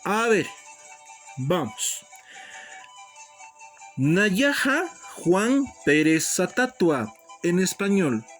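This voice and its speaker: A man teaching an Aymara lesson, repeating each phrase slowly and calling his students brothers and sisters. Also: sits high, very animated, fairly bright tone, faint music behind. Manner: slow, deliberate repetition of phrases. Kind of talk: online language lesson.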